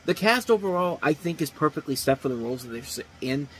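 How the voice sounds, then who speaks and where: A man's voice: fairly high pitch, animated, quick pace, a bit bright, a little hiss or hum, poor audio. Thirty-something man rambling through a review. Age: 30s. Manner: rambling, restarts, repeats phrases. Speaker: movie reviewer host. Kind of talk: casual unscripted review talk.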